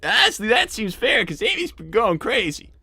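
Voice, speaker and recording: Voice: medium pitch, big pitch swings, normal speed, gravelly, pushed and bright, slightly rough, no room sound, exaggerated voice. A performer voicing a nervous, awkward young boy fox who stammers and rambles earnestly in improvised cartoon roleplay. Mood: exasperated.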